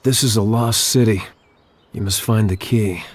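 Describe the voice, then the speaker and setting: A man playing a humble, gentle, cryptic street singer who speaks like a prophetic storyteller in an animated game. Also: lowish voice, moderate pace, close to an everyday voice. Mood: humble, mysterious. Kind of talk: animated game cutscene dialogue and song.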